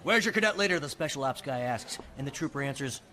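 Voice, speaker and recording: An actor playing a brash, teasing young military cadet in an audio drama, with a gleeful, mock-heroic storytelling manner. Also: male voice, mid-range voice, expressive, fast talker, heavy background noise, close to an everyday voice. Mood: amused. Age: young adult.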